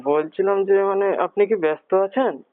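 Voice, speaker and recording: A man's voice: sits high, normal speed. A man making a prank phone call, hesitant, rambling and pleading as he poses as a worried parent. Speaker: prank caller.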